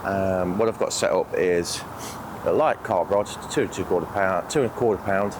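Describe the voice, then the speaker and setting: A British man with a croaky, sniffly voice from a cold, chatting casually and a little hesitantly as a fishing vlogger outdoors. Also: deep voice, normal speed, background noise. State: has a cold. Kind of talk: casual outdoor vlog talk to camera. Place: outdoors on a lake bank.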